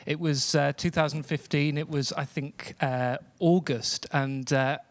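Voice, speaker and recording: British man, panel guest, slightly high voice, hesitant, reflective, halting, dry microphone sound. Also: steady tone, no echo. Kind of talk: answering in a panel discussion. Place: theatre stage, live event. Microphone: stage microphone.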